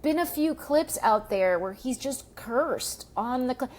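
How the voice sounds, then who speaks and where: A woman comedian hosting a casual comedic panel chat, talking quickly and swearing freely. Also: high-pitched, animated, a little mellow. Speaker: comedian and podcast host.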